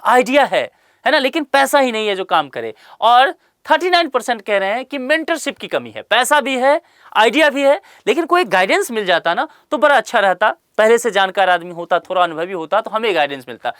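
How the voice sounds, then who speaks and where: A woman's voice: on the high side, lively intonation, a little fast, a bit bright, no room sound, studio quality. A woman explaining a survey report in a current affairs lesson, speaking to viewers with rhetorical questions and everyday examples.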